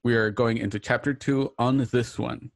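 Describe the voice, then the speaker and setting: A man's voice: mid-range voice, steady tone, normal speed, vocal fry, no echo, clean studio sound. A man hosting a livestreamed radio drama reading, introducing the show and its cast. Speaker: show host. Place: home, on a group video call.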